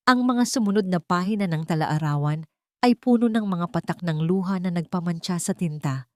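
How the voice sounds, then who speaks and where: A woman with a Filipino accent reads a scripted dramatic story in a measured, deliberate way, pausing for effect. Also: on the low side, a little mellow, no echo, clean studio sound. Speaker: story narrator.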